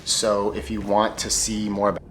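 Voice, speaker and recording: A young American man with a very deep voice walks through his vlog in an even, scripted way. His delivery is clear and practiced. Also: normal speed, slight background noise. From United States. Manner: clear, steady presenter delivery. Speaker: YouTuber.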